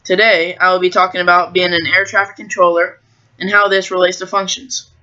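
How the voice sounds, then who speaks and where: American teen student presenter, bright even voice. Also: medium pitch, fairly quick, lo-fi sound. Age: teenager. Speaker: high school student presenter. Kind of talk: school presentation, explanatory narration.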